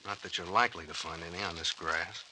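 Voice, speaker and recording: An American actor playing a shrewd, commanding but breezy private detective captain in an old-time radio serial. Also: male voice, deep voice, steady tone, fast, sharp tone, slight background noise, rough recording. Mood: businesslike.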